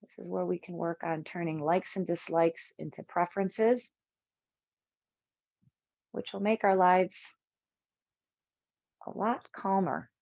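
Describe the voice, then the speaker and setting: A woman instructor leading a guided yoga relaxation, speaking in measured, soothing short phrases with long pauses. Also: on the low side, fairly even intonation, gravelly, darkish tone, no room sound, dull audio.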